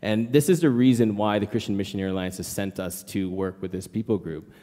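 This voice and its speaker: Man, lowish voice, playful quiz-host manner, church hall, long reverb. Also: brisk. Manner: lively, joking with the audience. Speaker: missionary speaker. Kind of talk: church presentation talk.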